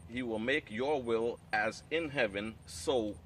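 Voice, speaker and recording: A man teaching a Hebrew class, explaining in a measured way and reading scripture aloud. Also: medium pitch, a bit bright, slight background noise. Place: outdoor training camp.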